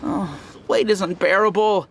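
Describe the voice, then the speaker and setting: A man's voice: high voice, moderate pace, creaky, a bit bright, slight background noise. A man voicing an impatient, dramatic townsman and avid fan in a video game, complaining. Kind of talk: English game voice acting.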